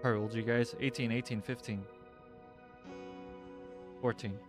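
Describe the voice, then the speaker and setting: A young man in his twenties chats loosely with his stream viewers as he plays, unhurried and drawn out, laughing easily. His pitch sits right in the middle. Game music plays clearly behind his voice. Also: fairly even intonation. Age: 20s. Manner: laid-back, laughs often, reads and answers chat. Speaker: livestream gamer. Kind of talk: casual livestream chat.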